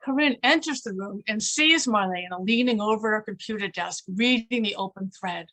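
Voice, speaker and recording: A woman's voice: mid-range voice, normal speed, a bit bright, poor audio. A woman reading stage directions as scripted narration.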